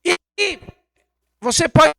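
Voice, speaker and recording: A man's voice: very high-pitched, animated, slow, gravelly, fairly bright tone. A Brazilian man preaching a Bible lesson in an emphatic, didactic way, repeating phrases and posing rhetorical questions. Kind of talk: Bible teaching sermon.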